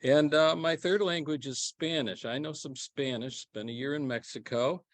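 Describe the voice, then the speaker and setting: A man's voice: on the high side, normal speed, dry recording. An older man speaking on a panel as a former minister, in a rambling, self-deprecating way. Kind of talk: panel discussion. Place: event hall.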